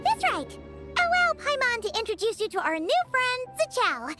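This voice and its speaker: An extremely high-pitched, childlike fairy companion, perky and boastful. The delivery swings up and down in full game-character style, with music playing clearly behind it. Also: female voice, big pitch swings, normal speed, bright, forceful tone, exaggerated voice. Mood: eager, proud. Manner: speaks of herself in third person, perky. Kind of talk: video game character acting.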